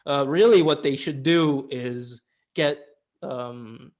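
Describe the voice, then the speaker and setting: A man's voice: mid-range voice, slow pace, darkish tone. A young man chatting casually as a film review co-host, opinionated and given to repeating words for emphasis.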